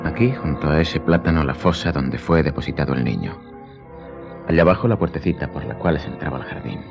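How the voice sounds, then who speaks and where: Radio play lead: commanding, enigmatic count, low solemn voice, measured delivery, slightly muffled sound. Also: male voice, animated, gravelly, full character voice. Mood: brooding. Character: grave, commanding count, calm and enigmatic nobleman. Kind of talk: radio drama acting. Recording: dull lo-fi sound, faint music behind.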